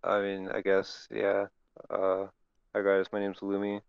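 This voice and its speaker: A man's voice: low voice, monotone, unhurried, vocal fry, smooth, dry recording. A man chatting casually and hesitantly as a newcomer on a podcast, through a muffled mic. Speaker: new podcast crew member. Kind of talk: casual chat. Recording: dull, muffled voice-chat mic.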